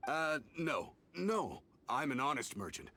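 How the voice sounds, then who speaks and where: A man's voice: fairly high pitch, sing-song, a little slow, sharp tone, full character voice. An actor playing a shifty male merchant in a video game, evasive and stammering when cornered, over game music. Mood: panicked.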